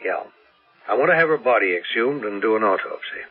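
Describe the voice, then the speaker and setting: An actor playing a persistent investigator in a radio drama. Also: male voice, on the low side, lively intonation, brisk, natural voice. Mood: earnest persuasion. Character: persistent investigator urging an exhumation. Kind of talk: 1970s radio drama acting. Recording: tinny old radio, faint music behind.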